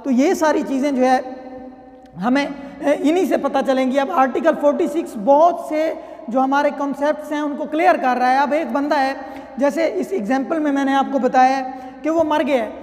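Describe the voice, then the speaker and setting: A man's voice: extremely high pitch, normal speed, a little mellow. A man with a Pakistani accent giving an explanatory law lecture in a conversational way, reading out and explaining. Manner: conversational, reads clauses then explains them. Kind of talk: explanatory lecture with worked examples. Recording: long reverberant hall-like echo.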